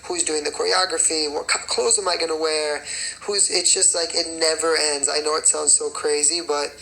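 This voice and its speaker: Raspy gravelly young man, bright voice, flat delivery, casual livestream chat, faint background noise. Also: on the high side, moderate pace, rough recording. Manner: rambling with many fillers and laughs. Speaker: singer and choreographer.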